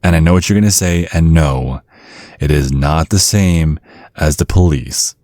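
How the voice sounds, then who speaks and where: Male actor as ancient vampire dad, extremely low voice, dry close sound, mock-courteous, insinuating, protective, ASMR roleplay. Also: unhurried, darkish tone, dry recording. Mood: probing, sly. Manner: mock-courteous, insinuating, teasing with veiled threats. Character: centuries-old vampire father, menacing, protective, slyly polite.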